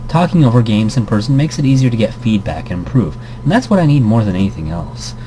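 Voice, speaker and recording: A man's voice: lowish voice, very animated, fast talker, dark tone, poor audio. A man giving casual commentary and reading game text aloud, rambling and interrupting himself, with playful asides. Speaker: let's player reading a visual novel aloud. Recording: background noise behind the voice.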